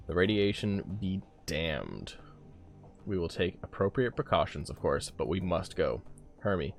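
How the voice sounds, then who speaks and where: Man acting obsessive male scientist, video game dialogue, urgent, excitable, swinging intonation, creaky edge. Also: low-pitched. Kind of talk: reading game dialogue aloud.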